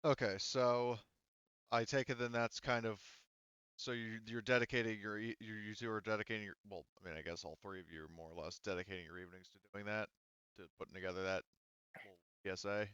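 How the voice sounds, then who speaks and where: A man's voice: fairly low pitch, fairly even intonation, brisk, fairly bright tone, smooth, dry recording, lo-fi sound. A man acting as game master, explaining and improvising casually in a rambling, self-correcting way.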